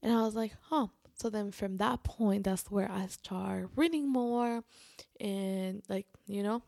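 Creaky-voiced woman chatting casually. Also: mid-range voice, darkish tone, dry recording, studio quality. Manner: informal, halting, restarts sentences and quotes herself often. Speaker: vlogger. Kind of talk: casual personal storytelling to camera.

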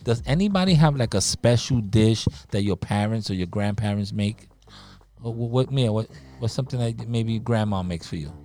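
A man's voice: fairly low pitch, expressive, moderate pace, mellow, a little hiss or hum. A middle-aged man chatting casually with a group of children, easygoing, repeating himself and restarting his sentences. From United States. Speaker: staff member talking with children. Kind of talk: casual chat with kids.

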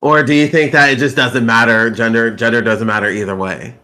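A man's voice: medium pitch, fairly even intonation, a little fast, bright, forceful tone. Brief Playful man in his thirties hosting. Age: 30s. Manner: playful, thinks aloud while asking. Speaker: podcast host. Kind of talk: casual interview.

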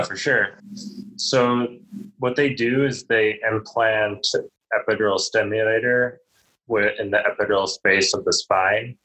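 Low, flat-voiced young man. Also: rough recording. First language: Polish. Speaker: podcast guest. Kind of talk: casual interview answers.

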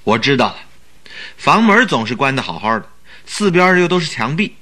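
Radio drama sidekick: bright, forceful voice, lively swoops, earnest, excitable, forever questioning. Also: male voice, medium pitch, brisk. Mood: puzzled. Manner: questioning, exclamatory. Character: earnest, excitable male sidekick, puzzled and admiring. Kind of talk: Mandarin radio drama acting.

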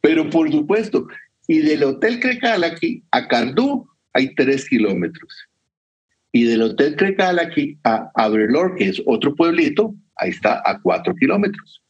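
A man's voice: fairly high pitch, very animated, measured pace, breathy edge, muffled recording. A man with a Costa Rican accent chatting and telling travel stories, often adding little tag questions to check the listener follows. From Costa Rica. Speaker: guest sharing whisky travel advice. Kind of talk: conversational travel storytelling.

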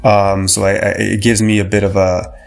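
A man's voice: lowish voice, flat delivery, a little fast, gravelly, faint music behind. A young man from Canada, a podcast guest, talking casually with lots of fillers and restarts. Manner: rambling, many fillers and restarts. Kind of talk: casual interview talk.

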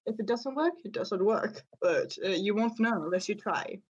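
A seventeen-year-old Swedish woman, speaking English as a second language, chats in a relaxed way through a cold. Her pitch is ordinary for a woman, and she laughs easily. The recording is dull and lo-fi, like a voice chat. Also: moderate pace, husky. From Sweden. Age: teens. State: has a cold, sniffling. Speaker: podcast co-host. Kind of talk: casual chat.

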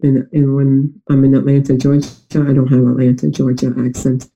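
A man's voice: medium pitch, completely flat delivery, normal speed, gravelly, dark tone, a little hiss or hum, low-quality recording. A young man with a New York-like accent and a congested, nasal voice, hesitantly telling personal stories as an interview guest. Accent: New York-like American. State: congested from a recent illness.

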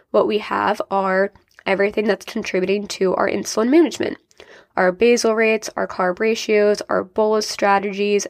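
A young woman hosts her own podcast, talking straight to her listeners in an upbeat, explanatory way. A sinus infection leaves her sounding a little nasal and congested. Her pitch sits in an ordinary middle range, and the recording is dry with no room echo. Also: gravelly. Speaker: podcast host and diabetes coach. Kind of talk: solo podcast talk.

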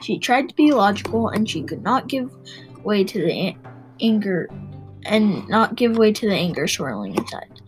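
A woman's voice: mid-range voice, very animated, moderate pace, faint music behind, poor audio. A woman reading a children's novel aloud, stumbling now and then and rereading phrases. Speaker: reader and host of a read-aloud show.

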